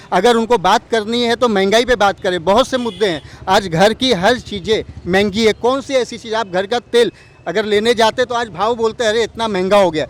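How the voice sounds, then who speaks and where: A man giving an emphatic, argumentative opinion in a street interview, pressing points with rhetorical questions. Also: high-pitched. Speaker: local community representative interviewed on the street. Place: outdoors on an urban street. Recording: outdoor street noise with traffic.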